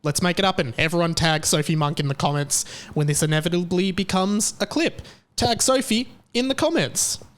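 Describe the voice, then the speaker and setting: A young Australian man talks alone into a podcast mic, chatty and rambling. His voice sits a bit high and sounds smooth and clear. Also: moderate pace, a bit bright. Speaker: podcast host. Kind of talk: casual solo podcast monologue.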